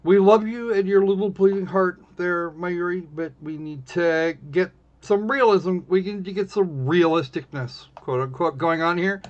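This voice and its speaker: A man giving casual, snarky live commentary on a game, blunt and halting, often restarting his phrases. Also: sits high. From United States. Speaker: live streamer playing a visual novel. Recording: dull, lo-fi stream audio.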